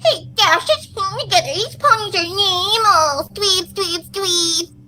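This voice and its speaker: A woman's voice: very high voice, measured pace, a loud crowd. A woman voicing a cheerful, sadistic female cartoon pony, chirpy and childlike, in a horror fan fiction reading. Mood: playfully menacing.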